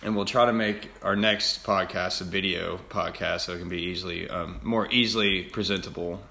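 Gravelly, low-toned young man, echoey room. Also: fairly even intonation, normal speed, bright, forceful tone. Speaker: podcast host. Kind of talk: casual podcast chat.

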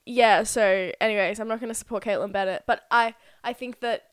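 A woman's voice: a little high, fairly even intonation, fairly quick, smooth, dry recording, clean studio sound. A young adult Australian woman chatting casually and telling stories as a podcast co-host, informal and peppered with filler "like". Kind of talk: casual chat, storytelling.

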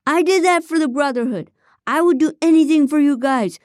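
A sleazy, self-pitying henchman who whines on about everything he did for the gang, high and pushy, as a woman reading the story puts him on. Dry and close. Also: brisk, a little mellow, no room sound, natural voice. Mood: self-justifying.

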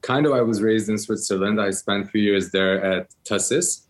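Low-voiced Turkish-raised man in his thirties. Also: moderate pace. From Turkey. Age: 30s. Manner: hesitant, many ums and restarts. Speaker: interview guest, entrepreneur. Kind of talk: casual interview answers.

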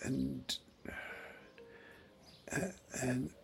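An actor playing an elderly, forgetful father in an audio play, confused and wistful, halting and searching for his words. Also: medium pitch, level tone, gravelly, husky, exaggerated voice. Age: older. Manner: halting, searching, repeats himself.

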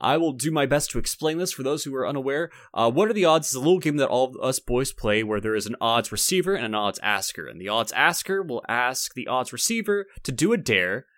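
A man's voice: medium pitch, animated, a little fast, few pauses, fairly bright tone, no echo, clean studio sound. A man co-hosting a podcast in casual banter, with a jokey, playful and rambling way of talking. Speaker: podcast co-host.

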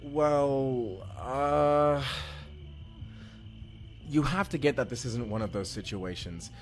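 A man's voice: mid-range voice, lively intonation, takes it slowly. A man playing an awkward, friendly, evasive young man in an immersive audio drama, chatty and hesitant, often joking. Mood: hesitant, sincere. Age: young adult. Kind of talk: immersive first-person audio roleplay. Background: music.

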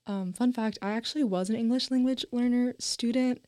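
A woman's voice: fairly high pitch, a little fast, darkish tone. A woman in her twenties, a college student chatting casually on a panel, talkative and emphatic with frequent laughs. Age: 20s. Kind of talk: casual group chat.

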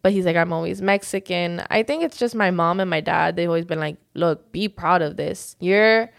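A young woman in casual conversation, speaking with animated energy. She laughs often and leans on fillers like "like" and "honestly". Her tone stays relaxed and open. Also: lowish voice, moderate pace, creaky. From United States. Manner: animated, laughing, frequent likes. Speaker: guest.

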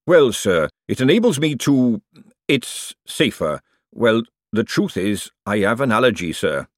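A man's voice: on the low side, big pitch swings, a little slow, no echo, clean studio sound. A man acting a nervous, deferential chef. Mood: miserable. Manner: hesitant, servile, repeatedly saying "sir". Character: nervous, deferential male chef with odd word allergy. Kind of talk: audiobook character dialogue.

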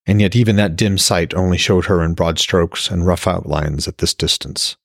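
A man narrates a fantasy audiobook in a low, husky voice. The recording is very dry and close, and the delivery is that of scripted storytelling. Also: moderate pace, no room sound. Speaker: author and podcast narrator.

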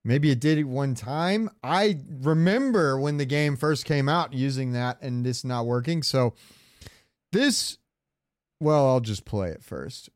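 A man's voice: medium pitch, animated, a little slow, no echo, clean studio sound. A man co-hosting a podcast, chatting about games in an informal, joking way. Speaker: podcast co-host. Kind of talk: casual gaming chat, ranking voice lines.

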